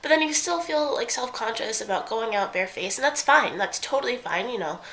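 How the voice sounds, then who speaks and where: A woman's voice: on the high side, expressive, a little fast, vocal fry, fairly bright tone, poor audio. A young woman with a stuffy, congested voice, chatting casually through a makeup tutorial and often correcting herself mid-sentence. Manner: chatty, rambling, self-correcting. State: congested from a cold. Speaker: beauty vlogger.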